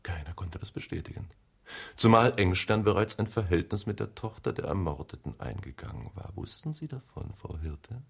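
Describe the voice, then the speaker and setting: An actor playing a shrewd middle-aged homicide detective in a radio drama, questioning in a formal, insistent way with a rough voice. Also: male voice, low-pitched, big pitch swings, moderate pace, full character voice. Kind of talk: radio drama interrogation dialogue.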